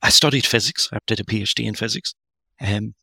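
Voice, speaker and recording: A middle-aged man from Ireland, speaking as a guest author in a podcast interview, thoughtful and halting with frequent restarts. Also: fairly low pitch, vocal fry, sharp tone, breathy edge, dry recording. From Northern Ireland.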